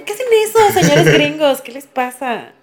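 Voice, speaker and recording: A woman's voice: high voice, animated, normal speed. A woman co-hosting a podcast, chatting informally and reacting to her partner. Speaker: podcast co-host. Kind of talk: casual chat.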